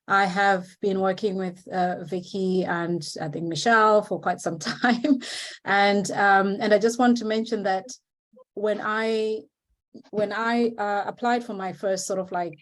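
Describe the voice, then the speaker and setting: A woman from Zimbabwe talks over a video call at an online seminar. Her pitch sits in the middle range. She speaks in a hesitant way, with many fillers and restarts, and encourages her listeners. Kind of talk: informal remarks at an online seminar.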